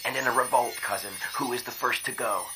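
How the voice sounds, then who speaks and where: A man voicing a commanding male alien clan leader in an audiobook, speaking with deliberate authority. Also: medium pitch, sharp tone, slight background noise, voice pushed up, rough recording. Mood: urgent, pressing. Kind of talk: dramatized audiobook narration.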